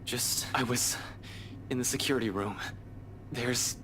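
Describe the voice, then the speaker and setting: An actor playing a tense, protective young investigator in a visual novel, speaking urgently. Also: male voice, medium pitch, steady tone, a little slow, noisy background, natural voice. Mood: shaken. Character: Ash, tense young male armed investigator, protective, swearing.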